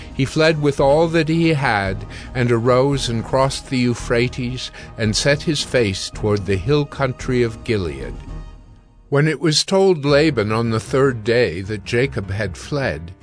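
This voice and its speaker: A man gives measured, scripted narration for an audio Bible. His voice sits in a middle pitch, with faint music underneath. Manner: measured, storytelling.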